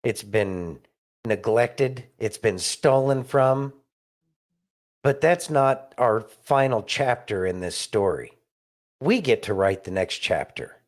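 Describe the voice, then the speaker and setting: A man's voice: fairly low pitch, animated, takes it slowly. A man with an American accent giving emphatic conversational commentary as a podcast co-host, in short declarative phrases.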